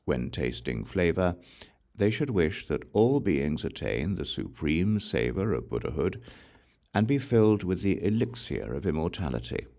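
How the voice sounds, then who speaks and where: A man reading scripture aloud as an audiobook narrator, in a measured, solemn recitation. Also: very deep voice, creaky, darkish tone. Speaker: audiobook reader.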